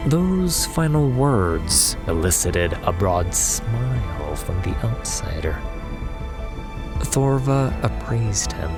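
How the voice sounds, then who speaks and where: A man's voice: on the low side, big pitch swings, takes it slowly. A man narrating a scripted fantasy story as a dramatic audiobook narrator. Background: music.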